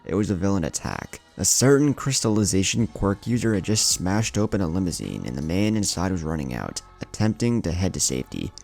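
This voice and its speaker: Deep, creaky-voiced male storyteller. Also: big pitch swings, brisk, few pauses, a little mellow. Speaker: channel narrator and host. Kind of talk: scripted dramatic story narration. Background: faint music.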